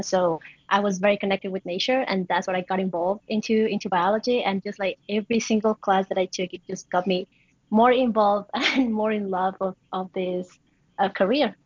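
A woman's voice: mid-range voice, steady tone, moderate pace, no echo, muffled recording. A young adult woman from Colombia, a native Spanish speaker with a Colombian accent, chatting casually as an interview guest. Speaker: interview guest, PhD student. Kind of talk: casual, conversational answers.

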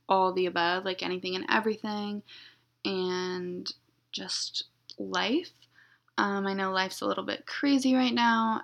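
A young woman hosting her own podcast, talking in a flat, monotone, rambling way and being blunt. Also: mid-range voice, creaky. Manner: blunt, unfiltered. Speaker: podcast host. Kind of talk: casual solo podcast intro.

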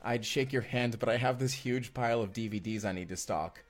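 A man's voice: medium pitch, a little fast, faint music behind, lightly acted. A man acting as a devoted, sweetly awkward young romantic in an immersive audio roleplay, speaking in an earnest, hesitant, conversational way. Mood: flustered, friendly.

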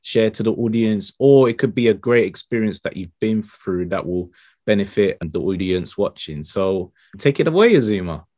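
Man, podcast host, very deep voice, friendly, rambling, dry close sound. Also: expressive, moderate pace, mellow, dry recording. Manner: friendly, rambling, many fillers. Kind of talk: casual interview chat.

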